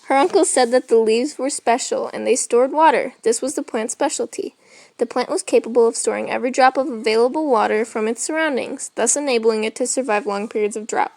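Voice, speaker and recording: A woman's voice: on the high side, brisk, vocal fry, a little mellow, no echo. A woman reading a children's story aloud as narration. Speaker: storybook reader.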